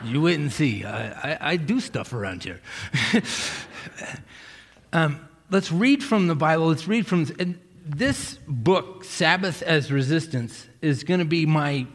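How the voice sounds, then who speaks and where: A man's voice: fairly high pitch, lively intonation, a little slow. A man from Massachusetts preaching a casual, joking sermon as pastor, often restarting his sentences. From Massachusetts, USA. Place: church sanctuary. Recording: hall reverb.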